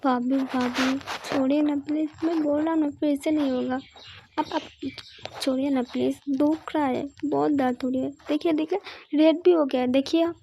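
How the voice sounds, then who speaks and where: A woman's voice: high-pitched, fairly even intonation, normal speed, mellow, a little hiss or hum, rough recording. Woman playing a whiny pleading young girl. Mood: whining. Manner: pleading, whining.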